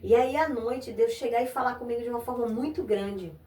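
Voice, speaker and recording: A woman from Brazil hosting a religious interview podcast, affirming her guest with frequent amens and gently preaching. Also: a little high, a little fast. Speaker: podcast host. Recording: echoey room, voice a bit far from mic, faint noise.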